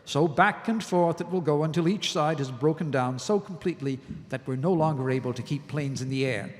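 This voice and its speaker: A man playing a grave, forceful army colonel in a live audio drama, arguing in deliberate military speech. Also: on the high side, natural voice. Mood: grave, urgent. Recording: live stage recording in echoey hall.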